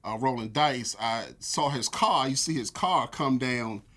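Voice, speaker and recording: An American man in his forties, a church elder, telling relaxed stories with lots of fillers in a casual interview. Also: medium pitch, unhurried. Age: 40s. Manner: relaxed storytelling with frequent fillers.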